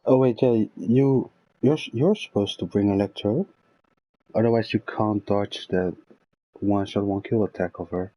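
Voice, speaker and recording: A man's voice: on the low side, a little slow, muffled recording. A man giving casual, informal gameplay commentary as a streamer, thinking aloud as he plays. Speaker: video game streamer. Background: game music.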